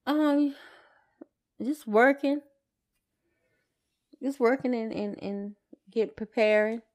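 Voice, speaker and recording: A woman's voice: on the high side, slow. A woman co-hosting a podcast in casual chat, giving brief, laid-back replies. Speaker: podcast co-host.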